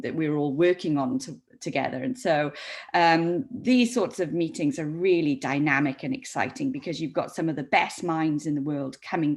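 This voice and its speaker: Low-voiced Welsh-accented woman lecturing. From Saudi Arabia. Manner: measured, with frequent ums and repeated words. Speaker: professor giving a talk. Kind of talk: recorded academic presentation.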